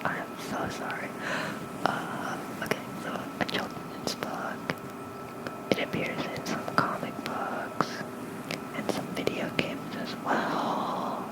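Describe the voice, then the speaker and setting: ASMR bedtime narrator: pure soft whisper, slow gentle pacing, breathy, close-miked. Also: poor audio. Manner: gentle, unhurried, murmuring small asides. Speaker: host of an ASMR channel. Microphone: close mic with fluffy cover.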